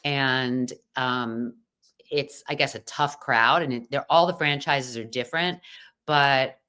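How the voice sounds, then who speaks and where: A woman's voice: a bass voice, slow pace, sharp tone, clean tone. A middle-aged American woman chatting casually as a podcast guest, full of fillers and restarts. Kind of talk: casual chat.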